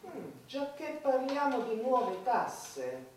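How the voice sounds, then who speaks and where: Woman as sanctimonious high-voiced church dignitary, distant. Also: sing-song, slow, full character voice. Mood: slyly amused. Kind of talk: satirical stage acting. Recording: very echoey, distant, faint noise.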